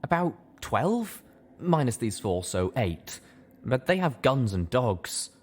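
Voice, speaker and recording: A man's voice: medium pitch, big pitch swings, slow pace, voice pushed up. A man voicing an eager young male pony, a starstruck would-be hero in a story reading. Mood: eager, awed.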